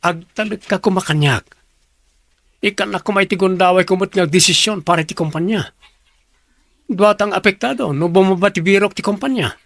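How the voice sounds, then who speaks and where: A man's voice: high voice, normal speed, gravelly, fairly bright tone, dry recording, full character voice. A man with a Filipino accent playing a businesslike, authoritative company department head in a radio drama. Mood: earnest, insistent. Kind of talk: Ilocano radio drama acting.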